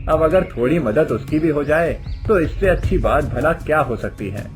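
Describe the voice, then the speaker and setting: An actor playing a kind, generous villager in a cartoon story, speaking gently and earnestly. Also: male voice, a little high, lively intonation, moderate pace, over music, lightly acted. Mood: kindly.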